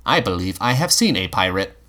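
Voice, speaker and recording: A man's voice: deep voice, very animated, a little fast, bright, forceful tone, exaggerated voice. A man voicing a polite, curious male robot companion who speaks in formal, precise words in an audiobook. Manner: formal, precise, courteous wording. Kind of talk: audiobook character voice.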